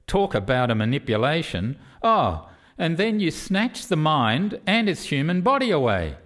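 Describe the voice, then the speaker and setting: Radio play alien sceptic, male actor: fairly high, very varied pitch, wry and pointed. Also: big pitch swings, unhurried, fairly bright tone. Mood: sceptical, challenging.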